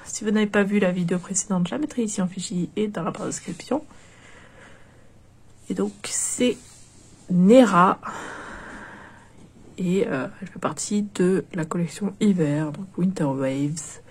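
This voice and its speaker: A woman's voice: on the low side, a little slow, long pauses, darkish tone, slightly rough. A young woman with a cold, chatting hesitantly through a doll unboxing with many fillers and restarts. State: has a cold, nasal and stuffy. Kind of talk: casual unboxing commentary.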